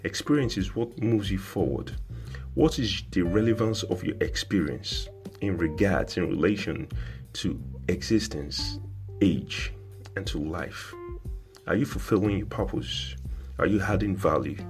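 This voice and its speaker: A man's voice: sits low, a little slow, darkish tone, soft background music. A man giving a motivational talk, firing off repeated rhetorical questions in a preachy, insistent way. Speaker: motivational speaker. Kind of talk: motivational monologue.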